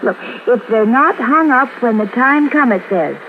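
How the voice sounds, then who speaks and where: High-voiced, anxious, insistent radio wife. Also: female voice, expressive, moderate pace, narrow, tinny audio. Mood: urgent, reasoning. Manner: urgent, earnest, persuasive. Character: anxious, insistent, upright young-sounding wife. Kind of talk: 1950s radio drama acting. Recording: thin, noisy 1950s radio recording.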